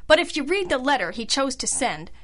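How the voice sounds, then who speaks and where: An actress playing a composed, sharp female detective in an old-style radio drama, matter-of-fact, knowing and wry. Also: high voice, very animated, fairly quick, exaggerated voice. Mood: calm, firm.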